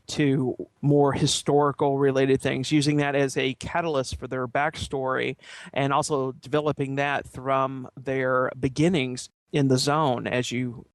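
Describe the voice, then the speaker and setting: Guy on a podcast panel, medium pitch, rambles thoughtfully, no room echo. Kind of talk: casual panel discussion.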